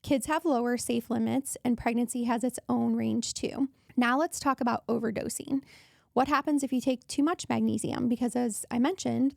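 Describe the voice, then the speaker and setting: Woman host, hoarse and raspy, higher pitch, gravelly fry, chatty wellness podcast talk. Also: darkish tone, dry recording, clean studio sound. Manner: chatty, wanders off on tangents. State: hoarse from allergies.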